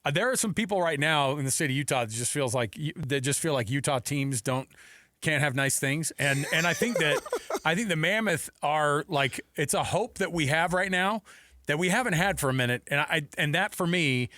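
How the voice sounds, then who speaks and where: Male sports radio host, slightly high voice, casual delivery, close dry studio sound. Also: normal speed, bright, forceful tone, no echo.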